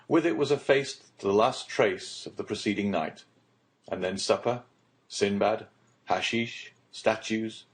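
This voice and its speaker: Low-voiced man narrating a classic novel. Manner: formal, measured storytelling. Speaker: audiobook narrator. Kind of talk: scripted literary narration.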